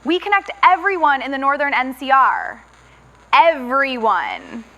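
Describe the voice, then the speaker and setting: A woman's voice: sits high, very animated, measured pace, pushed and bright, exaggerated voice, poor audio. A woman voicing an unbothered, cheeky visiting radio host who stays airy while trouble breaks out around her, in a fan radio drama. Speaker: visiting co-host of a rival station.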